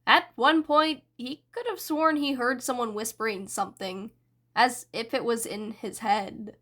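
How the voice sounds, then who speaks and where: A woman narrating a fan-written story in a soft, unhurried way. Her voice sits a bit high and bright, and she takes her time over each phrase. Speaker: fanfiction reader. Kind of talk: audiobook narration.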